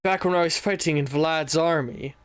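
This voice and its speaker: An ancient vampire soldier speaks slowly and gravely, as a man puts him on. He picks his words with halting care. His voice sits a little high, and the sound is close and dry. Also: no echo, natural voice.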